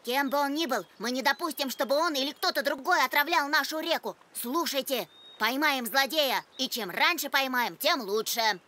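Bright, high-pitched young hero animal. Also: normal speed, few pauses, slight background noise. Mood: determined. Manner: earnest, commanding, eager. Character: brave, decisive young male cartoon animal leader. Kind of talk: animated children's cartoon dubbing.

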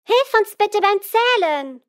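A woman's voice: extremely high pitch, expressive, takes it slowly, a little hiss or hum, full character voice. A woman voicing a cheerful, helpful little girl in an educational children's cartoon, speaking to young viewers in simple instructive phrases. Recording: music behind the voice.

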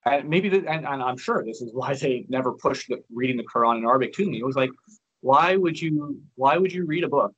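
A man's voice: mid-range voice, expressive, a little fast, fairly bright tone, breathy edge, highs cut off. A man in his thirties from Canada, a guest talking frankly on a video call, with many restarts and fillers. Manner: frank and chatty, many restarts and "you know". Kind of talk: casual interview on a video call. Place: indoors by a large window.

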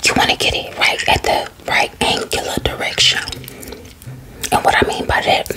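A woman playing a sassy, defensive salon beautician in a breathy, whispered ASMR roleplay, using colloquial, repetitive patter. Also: close to an everyday voice. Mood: haughty, proud. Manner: slow, sassy, colloquial patter with repeated phrases.

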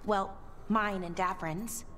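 An actress playing a bitter, knowing elven companion in a fantasy video game, speaking in a measured way. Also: female voice, medium pitch, fairly even intonation, a bit bright, a little hiss or hum. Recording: long reverb on game audio.

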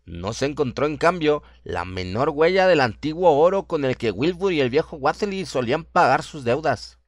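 A man's voice: a little high, expressive, normal speed, dry recording, studio quality. A man reading a scripted horror story as its narrator. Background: music behind the voice.

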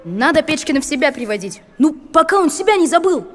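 Cartoon village boy: youthful, high, mild and sensible. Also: big pitch swings, fast talker. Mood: reasonable. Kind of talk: animated cartoon acting.

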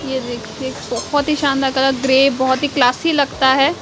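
A woman's voice: high voice, flat delivery, moderate pace, noisy background. A young woman as a video reporter in a shop, talking into a handheld mic with gushing, upbeat sales patter. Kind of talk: promotional shop tour. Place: indoor wholesale shop.

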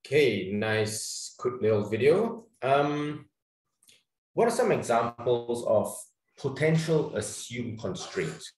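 A man with a Singaporean accent runs an online training session. He talks in a loose, chatty way, full of fillers and restarts, at a middling pitch. His voice comes through with a roomy echo. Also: animated, slow pace. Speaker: webinar trainer. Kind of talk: casual online workshop presentation.

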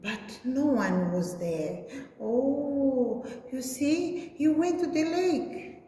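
A woman's voice: high voice, animated, extremely slow, dark tone. A woman preschool teacher reading a story aloud to small children in simple, repeated phrases with exaggerated sing-song emphasis. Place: indoor classroom. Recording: echoey room with long reverb.

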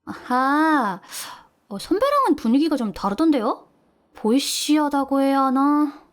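A woman's voice: high voice, sing-song, unhurried. An actress playing a cheerful, teasing young female office worker in an amateur audio drama. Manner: bright, teasing, eager.

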